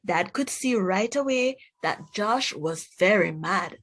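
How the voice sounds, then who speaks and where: A woman reading a children's storybook aloud in a deliberate, expressive way, speaking directly to young listeners. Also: mid-range voice.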